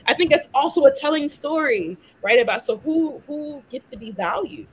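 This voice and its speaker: A woman's voice: high-pitched, lively intonation, moderate pace, poor audio. A young woman from London and Miami speaking passionately in a conversational discussion, peppering her talk with "like" and "right?". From born in London, raised in Miami. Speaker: guest, activist.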